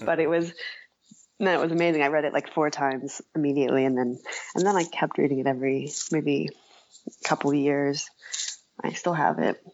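A woman giving thoughtful, halting, conversational answers as an interview guest, with a dull lo-fi recording. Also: low-pitched, fairly even intonation, a little mellow. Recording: dull, lo-fi, dry audio.

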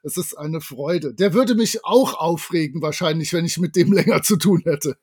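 A man's voice: on the high side, normal speed, darkish tone, husky. A man chatting enthusiastically and casually as a co-host on a fan podcast. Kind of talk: casual fan podcast chat about audio dramas.

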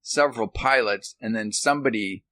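A man's voice: on the low side, moderate pace, pushed and bright, no echo. A man chatting casually and telling stories about himself in a self-deprecating way. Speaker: podcast guest and author. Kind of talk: casual reminiscing chat.

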